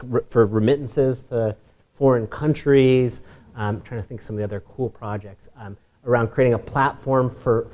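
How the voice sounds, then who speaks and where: Relaxed man academic on a panel. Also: mid-range voice. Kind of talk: panel discussion.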